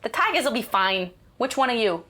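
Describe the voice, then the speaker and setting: An actress as an excitable, doting mother in an audio drama. Her voice is high and swings freely as she bosses and coaxes her grown daughter along. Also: brisk, full character voice. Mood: curious, prodding. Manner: bossy and coaxing, with animated exclamations. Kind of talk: naturalistic audio drama acting.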